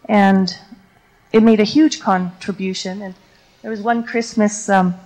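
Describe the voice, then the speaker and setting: A woman's voice: mid-range voice, fairly even intonation, measured pace, darkish tone, rough recording. A woman from Canada whose first language is Ukrainian, a teacher answering audience questions on a lecture stage in a reflective, storytelling way with many fillers.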